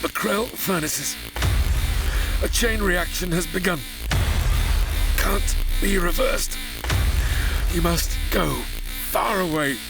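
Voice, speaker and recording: An actor playing an anguished scientist father in a melodramatic old-time radio play, grave and halting. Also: high-pitched. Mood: grave resolve. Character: anguished scientist father confronting his evil self. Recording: music behind the voice.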